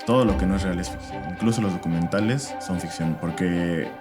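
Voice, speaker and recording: A man giving measured opinions on cinema in a teaser clip. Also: sits low. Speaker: podcast guest, former filmmaker. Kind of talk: discussion clip over music. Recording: loud background music.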